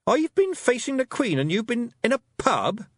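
A man's voice: extremely high pitch, very animated, moderate pace, fairly bright tone, no echo, voice pushed up, full character voice. A man voicing an earnest, practical young girl who speaks up indignantly.

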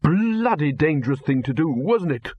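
A man reading an audiobook voices a gruff, blunt old male wizard chief who barks short phrases. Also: fairly high pitch, big pitch swings, moderate pace, no room sound, lo-fi sound, pitched above the performer's own voice. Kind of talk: audiobook character voice.

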